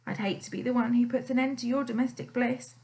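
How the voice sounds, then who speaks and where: A woman's voice: on the high side, steady tone, fast talker, warm tone, natural voice. Woman voicing a smug, menacing male fox. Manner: growling, snapping, smug threats. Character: sly bullying male fox, cocky blackmailer.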